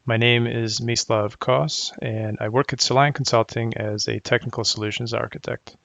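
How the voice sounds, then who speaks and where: Gravelly middle-aged man presenting a talk. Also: medium pitch, level tone, a bit bright, dry recording. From Croatia. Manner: measured, explanatory, methodical. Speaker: technical solutions architect presenting. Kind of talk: prepared conference presentation.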